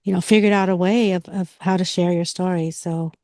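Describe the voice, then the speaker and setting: A woman's voice: on the low side, fairly even intonation, brisk, a little mellow, no echo, studio quality. A woman co-hosting a talk show, speaking conversationally in an affirming, encouraging way. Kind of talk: supportive conversational interview.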